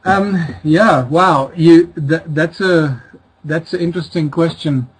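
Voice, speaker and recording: A man's voice: fairly high pitch, slow, husky, low-quality recording. A middle-aged man from the Czech Republic, raised in South Africa, speaking as an interview guest in a rambling way with many restarts. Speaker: guest author. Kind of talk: casual interview talk.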